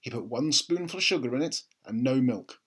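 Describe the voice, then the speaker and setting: A man's voice: mid-range voice, lively intonation, a little fast, slightly rough. A man narrating a children's book expressively, as a storybook reader.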